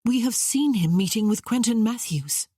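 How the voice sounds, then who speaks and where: Woman playing an earnest young woman, higher, animated. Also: moderate pace, husky, no room sound, clean studio sound. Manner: earnest, blurting. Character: earnest, bold young woman, idealistic and impulsive.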